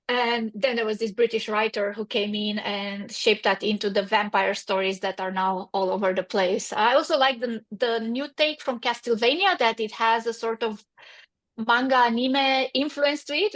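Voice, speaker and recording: Romanian-accented woman, brisk founder. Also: a little high. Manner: pragmatic, with frequent restarts. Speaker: guest, company founder. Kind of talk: interview answers.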